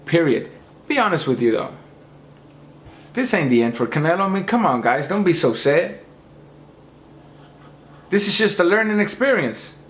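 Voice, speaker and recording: An emphatic man with a hoarse, slightly high voice lectures his viewers and hammers each point home. His voice bounces around an echoey room. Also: very animated, moderate pace, long pauses, fairly bright tone. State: losing his voice. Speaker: boxing commentator. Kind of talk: opinionated rant to camera.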